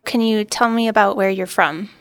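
Woman in her thirties with a gravelly edge. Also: mid-range voice, normal speed, no room sound. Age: 30s. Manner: curious, asks questions. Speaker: PhD co-advisor, interviewer. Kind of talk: casual conversation.

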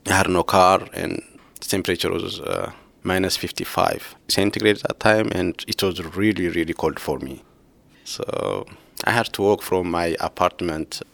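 A man in his thirties from Ethiopia, soft-spoken and laughing often as he talks with his former co-advisor. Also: sits low, moderate pace, creaky, no echo. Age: 30s. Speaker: former PhD student, interviewee. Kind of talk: casual conversation.

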